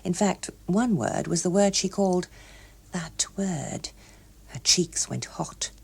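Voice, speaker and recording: A British woman reading a story as an audiobook narrator, in an expressive scripted style. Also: on the low side, slow, vocal fry, breathy edge.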